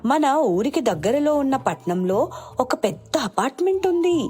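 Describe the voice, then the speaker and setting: A woman's voice: sits high, big pitch swings, moderate pace, darkish tone, soft background music. A woman playing a helpful, chatty village sister-in-law in a story cartoon, speaking in an eager, informal way.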